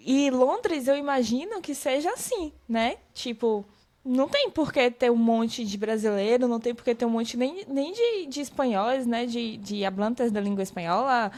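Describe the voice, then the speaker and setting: A Brazilian woman with a Brazilian Portuguese accent and a high voice hosts a relaxed conversation. She tells her own stories with energy. She jumps up in pitch when she quotes herself. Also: unhurried. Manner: animated storyteller, quotes herself in high bursts. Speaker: podcast host. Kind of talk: casual conversational interview.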